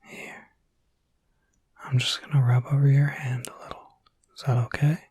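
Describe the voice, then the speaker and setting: A man playing a gentle, caring young werewolf in an intimate whispered ASMR roleplay, speaking softly and hesitantly, with casual reassurance. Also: mid-range voice, flat delivery, normal speed, no echo. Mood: tender, reassuring. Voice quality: breathy, soft whisper. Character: gentle, caring young male werewolf looking after someone.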